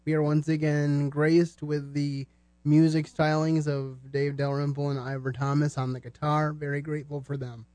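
A man hosting a podcast in a conversational style, with measured, halting phrasing. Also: fairly high pitch, little pitch movement, darkish tone, dry recording. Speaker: podcast host. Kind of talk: conversational podcast presenting with religious commentary.

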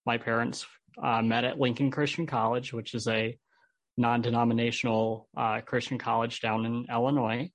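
A man's voice: medium pitch, level tone, moderate pace, no echo. A man with an American accent, a podcast guest telling his personal story in an interview. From Holland, United States.

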